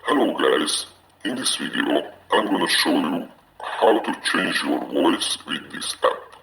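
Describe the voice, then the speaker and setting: A man voicing a monstrous devil through a pitch-lowering voice-changer filter, distorted and growly. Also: very animated, slow, gravelly, pushed and bright, heavily acted. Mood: demonic. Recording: noisy processed voice-changer playback.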